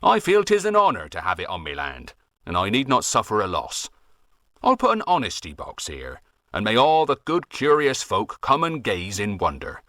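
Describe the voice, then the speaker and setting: A man's voice: lowish voice, wildly swooping pitch, moderate pace, a bit bright, dry recording, clean studio sound. A man voicing an old West Country farmer who rambles on in folksy dialect with a West Country accent, grumbling at red tape. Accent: West Country English. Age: older.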